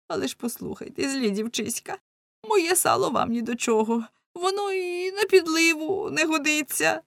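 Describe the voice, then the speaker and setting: A woman's voice: deep voice, vocal fry, darkish tone, no room sound, clean studio sound. A woman voicing a big, timid, faithful husband in a storybook, stubborn and plaintive, with a pleading manner. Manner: pleading, whining.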